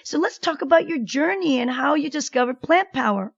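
High-voiced woman hosting a radio show. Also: expressive, fairly quick, creaky, no room sound, muffled recording. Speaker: radio show host, doctor. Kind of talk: radio interview.

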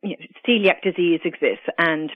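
A woman with a British accent and Italian traces, a nutritionist who is a guest on a radio show, speaking earnestly and with many pauses and restarts. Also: fairly low pitch, slightly rough, no room sound, phone-quality sound. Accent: British English with Italian traces. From Italy, grew up in the UK. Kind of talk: radio interview.

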